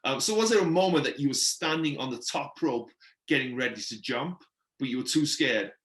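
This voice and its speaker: A man's voice: medium pitch, animated, fairly quick, fairly bright tone. A man with a Welsh accent, whose first language is Welsh, hosting a podcast interview in a laid-back, chummy way and laughing often. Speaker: podcast host. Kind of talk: casual interview chat. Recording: roomy echo.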